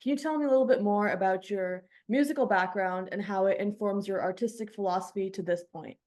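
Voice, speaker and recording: A woman's voice: mid-range voice, fairly quick, darkish tone. A woman hosting a radio interview, reading prepared questions in an articulate, polished way.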